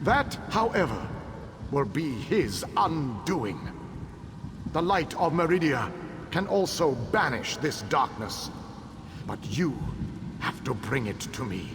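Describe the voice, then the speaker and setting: An actor playing a proud ancient elven king and calculating tactician, speaking in a measured, formal, commanding way in a video game. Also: mid-range voice, big pitch swings, noisy background. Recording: long hall reverb with loud ambience.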